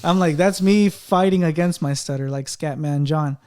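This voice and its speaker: A man's voice: fairly high pitch, moderate pace, darkish tone, clear, resonant tone. A man hosting a podcast in casual chat, with a slight stutter, talking animatedly and acting out what other people say. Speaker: podcast host.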